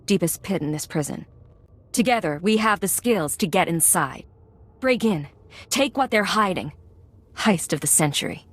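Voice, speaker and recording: A commanding female agent with a fairly low voice. She is cool and controlled, handing out decisive orders as a crew leader in a video game. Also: lively intonation, normal speed. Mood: confident, commanding.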